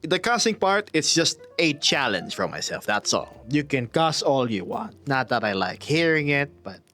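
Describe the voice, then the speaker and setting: Soft-spoken man streamer. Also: mid-range voice, big pitch swings, soft background music. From Philippines. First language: Tagalog. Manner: soft-spoken, drops into whispers, measured and dry. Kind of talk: casual chat with viewers.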